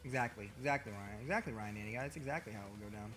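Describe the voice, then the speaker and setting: A man streaming as a VTuber, joking and trash-talking with over-the-top, profane bragging, his voice a little hoarse. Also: on the low side, rapid-fire, fairly bright tone, faint music behind. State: slightly hoarse. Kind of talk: comedic livestream banter.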